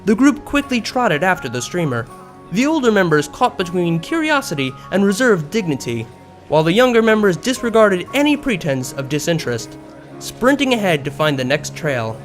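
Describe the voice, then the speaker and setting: A man reading a story aloud as an expressive narrator, in scripted prose. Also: high-pitched. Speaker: narrator of a fan fiction story. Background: background music.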